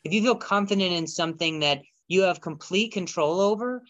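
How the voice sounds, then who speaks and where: Halting, bright-voiced man with a stutter. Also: high-pitched, highs cut off. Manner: halting, many restarts and repeated words. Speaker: podcast guest. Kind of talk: reflective conversation.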